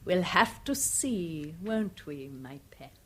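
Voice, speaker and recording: An actress playing a mocking, flirtatious middle-aged English wife in a radio drama, theatrical and sweetly condescending. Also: female voice, lowish voice, animated, slow pace. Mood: sly. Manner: taunting, theatrical, sugary condescension. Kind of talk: 1970s radio drama acting.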